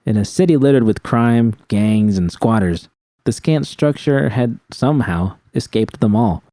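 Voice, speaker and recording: A man's voice: medium pitch, measured pace, mellow, dry recording, clean studio sound. A man reading scripted first-person fiction as a narrator.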